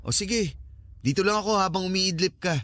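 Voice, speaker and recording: A man's voice: high voice, fairly quick, fairly bright tone, no echo. A Filipino man playing a young horror vlogger, a dismissive but caring boyfriend, in a radio drama. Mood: teasing, reassuring.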